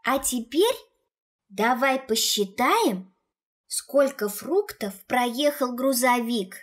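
Slow, high-voiced woman narrating for children. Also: animated. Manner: simple, deliberate single words and short phrases. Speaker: narrator of an educational children's cartoon. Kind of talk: scripted narration teaching words and counting.

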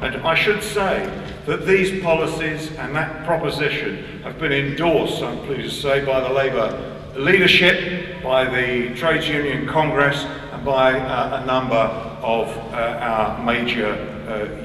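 A man giving a deliberate, emphatic public speech at a lectern on stage, pausing to stress his points. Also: medium pitch, steady tone, pushed and bright, a little hiss or hum. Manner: emphatic, deliberate, with measured pauses and repetition. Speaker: speaker at a political conference. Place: large hall on stage. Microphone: stand mic at a lectern, heard from a distance. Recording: echoey hall, distant mic.

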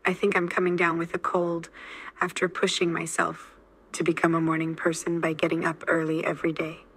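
A woman's voice: on the low side, monotone, brisk, fairly bright tone, breathy edge, no room sound, low-quality recording. A woman's AI-generated voice repeating model sentences steadily for a language lesson. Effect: AI text-to-speech voice. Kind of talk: scripted sentence drills.

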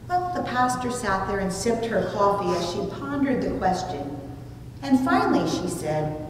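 A middle-aged woman pastor giving a sermon with a deliberate, emphatic delivery. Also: on the low side. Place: small church room, standing at a lectern. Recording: very echoey hall, distant, background noise.

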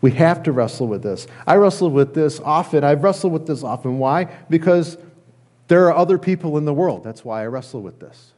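A middle-aged man, a pastor, preaching a conversational sermon in an earnest, halting way with repeated phrases. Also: fairly high pitch, lively intonation, a little mellow. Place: church sanctuary, at a lectern indoors.

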